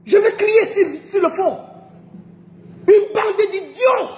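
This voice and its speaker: A woman from Cameroon in an indignant rant, shouting and tripping over her words in anger. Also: very high voice, takes it slowly, reverberant. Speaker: angry social media commenter.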